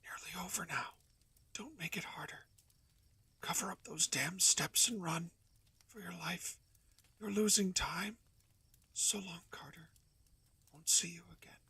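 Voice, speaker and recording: A man voicing a terrified man trapped underground, yelling frantic, strained warnings from a distance in a horror story reading. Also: extremely high pitch, steady tone, slow pace, husky, voice pushed up, heavily acted. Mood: fading whispers.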